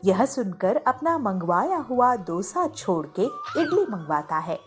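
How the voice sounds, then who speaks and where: Woman reading a kids moral story, slow n lively, music under her. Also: medium pitch. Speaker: story narrator. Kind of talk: scripted moral-story narration for an animated children's video. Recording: music behind the voice.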